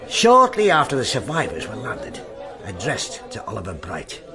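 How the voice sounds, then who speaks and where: An actor playing a brisk police inspector in a radio drama, with clipped, emphatic, streetwise phrasing. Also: male voice, medium pitch, huge pitch swings, a crowd in the background. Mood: intent, confiding. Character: brisk male police detective inspector hunting a relic.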